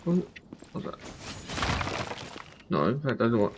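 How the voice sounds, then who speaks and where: Animated man rambling over a video game. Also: mid-range voice, slow pace, heavy background noise. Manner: rambling, thinking aloud. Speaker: game streamer. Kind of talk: casual gameplay commentary.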